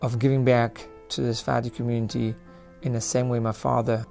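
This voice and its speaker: A man's voice: medium pitch, flat delivery, a little fast, gravelly, a little mellow. A man from England, a rabbi, recounting his life in a video testimonial. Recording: music playing behind the voice.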